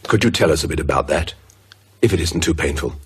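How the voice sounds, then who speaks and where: An actor playing an irritable, self-assured man with a brisk, dryly sardonic manner in a radio drama. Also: extremely low pitch, animated, husky, full character voice. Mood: attentive. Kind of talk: naturalistic radio drama acting.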